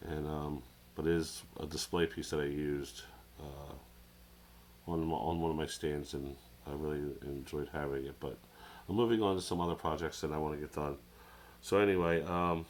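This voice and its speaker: Nasal low-voiced man with a cold. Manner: rambling, hesitant, many fillers and restarts. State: has a cold, sounds nasal. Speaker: product reviewer. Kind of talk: casual show-and-tell product review. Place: at a desk under an overhead camera.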